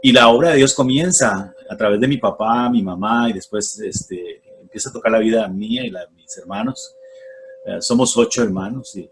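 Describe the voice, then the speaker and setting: A man in his forties speaking in a Costa Rican accent. His voice sits fairly low and has a husky edge. He talks slowly and thoughtfully, trailing off and restarting as he recalls the past. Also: poor audio. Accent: Costa Rican Spanish. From Costa Rica. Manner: unhurried, reflective, many fillers and restarts. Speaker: guest, former missionary. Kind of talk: casual radio interview, testimony.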